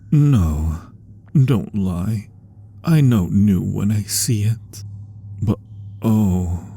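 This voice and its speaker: Guy doing a lovesick vampire prisoner for asmr roleplay, genteel but unhinged, mellow husky voice, theatrical and whispery. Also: on the low side, exaggerated voice. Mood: courtly, tender. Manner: theatrical, formal, slow and halting, murmuring into whispers.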